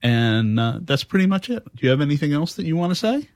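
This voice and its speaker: A man hosting a casual podcast chat in a halting, conversational way. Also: a little high, very animated, a little mellow, no room sound, clean studio sound. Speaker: podcast host and interviewer.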